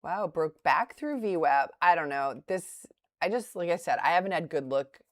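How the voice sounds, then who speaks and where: A woman's voice: fairly low pitch, lively intonation, moderate pace, dry recording, studio quality. A middle-aged woman with a light Boston accent, hosting a live day-trading stream and thinking aloud with hesitant restarts and laughing asides. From Boston area. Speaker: day trader livestream host. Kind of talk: live commentary while trading.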